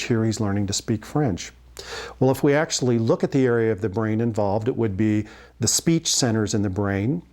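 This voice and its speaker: A man giving an explanatory health lecture as a physician, speaking in measured phrases with pauses mid-sentence. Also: mid-range voice, a little mellow. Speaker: family physician and instructor.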